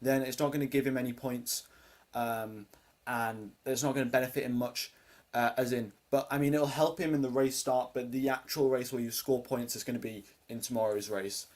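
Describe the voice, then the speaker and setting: A teenage boy with a deep voice for his age, hosting a casual live race watchalong, rambling with lots of ums and uhs as he answers chat questions. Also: steady tone, moderate pace, a little mellow. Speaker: live stream host.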